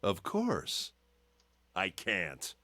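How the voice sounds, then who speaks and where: A deep, composed older gentleman. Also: no echo, exaggerated voice. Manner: measured and unflappable, deadpan. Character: calm, composed older man, dry and knowing. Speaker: voiced game character.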